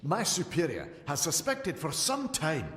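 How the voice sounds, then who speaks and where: An actor playing a discreet, conspiratorial political aide in a fantasy game, formal and insinuating. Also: male voice, fairly high pitch, huge pitch swings, unhurried, fairly bright tone, heavily acted. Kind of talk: scripted fantasy game dialogue. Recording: echoey hall, music behind.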